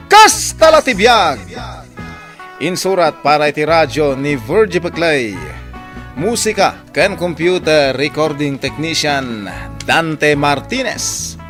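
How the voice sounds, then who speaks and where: A man's voice: high voice, a bit bright. A man announcing the close of a radio drama in a scripted, declamatory style.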